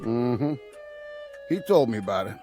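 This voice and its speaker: An actor playing a sly, menacing Old West outlaw in an audio drama, with a gravelly American Western drawl and a folksy, needling way of talking. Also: male voice, mid-range voice, animated, unhurried, warm tone, lightly acted. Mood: scornful. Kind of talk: radio drama acting. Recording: music under the dialogue.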